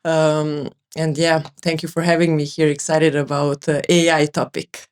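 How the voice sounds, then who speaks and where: A woman's voice: deep voice, level tone, moderate pace, a little mellow, clear, resonant tone. A woman with a Serbian accent introducing herself conversationally as a podcast guest. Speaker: podcast guest, sales coach.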